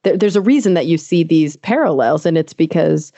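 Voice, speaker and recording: A young adult woman hosting a casual podcast interview, chatty and animated, laughing often and using fillers like "like" and "um". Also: low voice, fairly quick, no echo. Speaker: podcast host.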